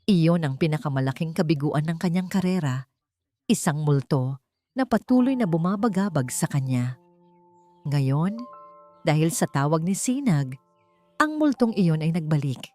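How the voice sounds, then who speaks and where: A woman's voice: low voice, expressive, moderate pace, pauses often, darkish tone, faint music behind. A woman narrating a scripted dramatic story.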